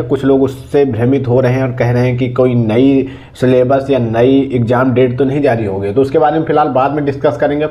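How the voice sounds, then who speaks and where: A slightly dull, lo-fi recording of a man with a medium-pitched voice. He talks informally to viewers as a presenter, explaining an update in a conversational way. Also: dark tone, a little hiss or hum. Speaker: exam news presenter.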